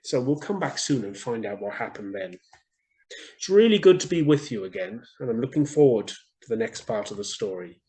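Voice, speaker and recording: A man's voice: medium pitch, expressive, moderate pace, dark tone. A man reading a story expressively for children, in a theatrical and friendly storyteller's manner.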